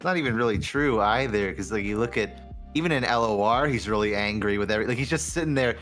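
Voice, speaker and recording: A man in a casual podcast discussion, complaining in an animated way and often saying "like". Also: lowish voice, fast, soft background music. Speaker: podcast guest.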